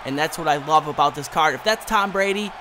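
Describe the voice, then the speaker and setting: A young man with a hoarse voice from a cold, doing upbeat gameplay commentary straight to his viewers. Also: on the high side, background noise. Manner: upbeat, fast-talking, speaks straight to viewers. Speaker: gaming YouTuber. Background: background music.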